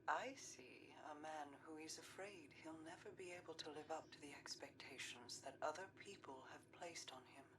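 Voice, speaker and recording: A woman playing a regal, enigmatic queen in a game cutscene, speaking in a formal, measured and knowing way. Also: sits low, background noise. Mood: quiet, knowing. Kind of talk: scripted game cutscene acting.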